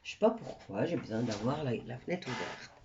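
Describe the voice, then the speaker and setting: A woman's voice: extremely low pitch, animated, a little fast, lo-fi sound, noisy background. A woman with a stuffy cold doing a casual tarot reading for viewers, chatty and rambling with many hesitations. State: stuffy nose from a cold, coughing. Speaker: tarot card reader.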